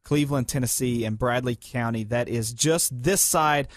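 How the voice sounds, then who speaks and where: A man's voice: mid-range voice, normal speed, no room sound, clean studio sound. A man with an American accent talking on air as a radio host in a polished, conversational way.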